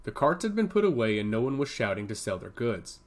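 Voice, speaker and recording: A man's voice: medium pitch, very animated, brisk. A man reading scripted narration for a fantasy audio story.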